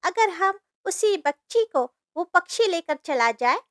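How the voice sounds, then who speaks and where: A woman's voice: very high voice, lively intonation, measured pace, dry recording, studio quality, pitched above the performer's own voice. A woman voicing an alarmist, scheming forest bird in a cartoon story, urgent, exclamatory and persuasive in delivery. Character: squeaky, alarmist forest birds, scheming and pleading. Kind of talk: Hindi cartoon story voice acting.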